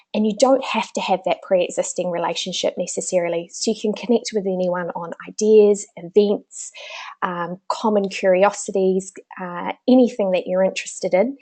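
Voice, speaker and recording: A woman's voice: mid-range voice, dark tone. A woman with a New Zealand accent presenting a business webinar in a chatty way, with many small fillers.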